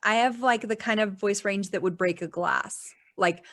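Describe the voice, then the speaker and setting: A woman's voice: mid-range voice, normal speed, fairly bright tone. A woman as a podcast guest in casual conversation, chatty and full of "like" fillers, laughing often.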